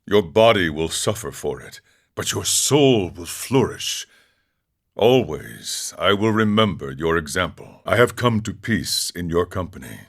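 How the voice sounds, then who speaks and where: An actor playing a serene, eccentric ascetic monk in a video game, speaking in a measured, philosophical way with formal phrasing. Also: male voice, low voice, big pitch swings, fairly bright tone, heavily acted. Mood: calm, sincere. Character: serene, eccentric ascetic drug-taking monk who relishes suffering. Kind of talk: scripted game character dialogue.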